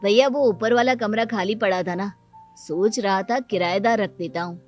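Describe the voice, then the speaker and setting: A woman's voice: medium pitch, moderate pace, faint music behind, voice pushed down, close to an everyday voice. A woman voicing an earnest, accommodating grown younger brother in a cartoon moral story. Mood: conciliatory. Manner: conciliatory, earnest.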